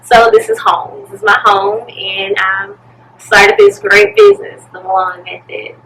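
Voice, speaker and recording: A woman, chatting casually as an interview guest and telling her story in an animated way. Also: medium pitch, measured pace, vocal fry. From Birmingham, Alabama, USA. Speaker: interview guest, pole studio owner and event planner. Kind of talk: casual chat. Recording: slightly distant mic, noisy room ambience.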